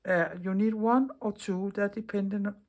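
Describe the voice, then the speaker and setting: A woman's voice: mid-range voice, steady tone, moderate pace, a little mellow. A middle-aged woman from Denmark with a Danish accent, hosting a chatty craft tutorial in English as a second language and often restarting her words. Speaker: craft video host. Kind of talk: casual craft tutorial talk.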